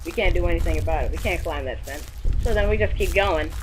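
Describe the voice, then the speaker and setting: A man's voice: fairly high pitch, fast. A young man telling an improvised comic story casually, rambling and leaning often on "like". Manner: rambling, repeats "like". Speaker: storyteller in a comedy skit. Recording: clearly noisy amateur recording.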